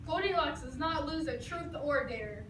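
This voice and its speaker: A woman's voice: high-pitched, animated, moderate pace. A woman playing a cheeky, daring girl in a readers theatre fairy tale. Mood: boastful. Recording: roomy, distant mic, noisy background.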